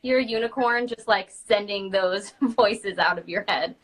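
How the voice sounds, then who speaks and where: A woman's voice: mid-range voice, a little slow, rough recording. A woman co-hosting a casual chat in an informal, agreeable, giggly way. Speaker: children's party princess performer, co-host.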